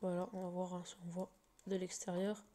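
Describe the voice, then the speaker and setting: A woman's voice: fairly low pitch, completely flat delivery, a little fast, warm tone, slight background noise. A woman with a slight cold, talking through a casual game tutorial while recording her screen, hesitant and full of fillers and restarts.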